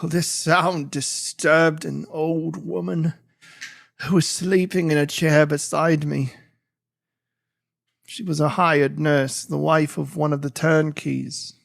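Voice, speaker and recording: A man playing an anguished, guilt-ridden imprisoned gentleman, narrating a literary audiobook in a theatrical, brooding manner. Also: fairly high pitch, vocal fry. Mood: grim recollection.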